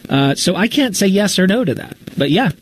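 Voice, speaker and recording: A man's voice: high voice, very animated, a little fast, a little hiss or hum. A man answering questions as a podcast storyteller in a casual live interview, candid and reflective as he thinks aloud. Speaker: writer and storyteller of a fiction podcast, being interviewed.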